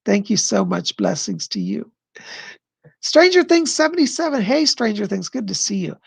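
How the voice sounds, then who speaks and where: A man hosting a live stream of psychic readings, answering viewer questions in an effusive, chatty way and pausing to listen to spirits. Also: high-pitched, wildly swooping pitch, creaky, husky, no room sound. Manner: pauses to listen to spirits mid-sentence.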